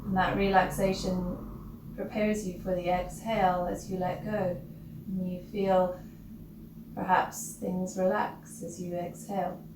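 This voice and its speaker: A woman leading a guided breathing meditation as a teacher, gentle and instructional, leaving long pauses between short phrases. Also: lowish voice. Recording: roomy, distant mic with loud background noise.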